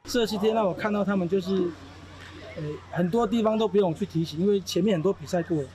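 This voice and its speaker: A man, a youth baseball coach, answering an interview quickly and eagerly. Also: sits high, mellow, poor audio.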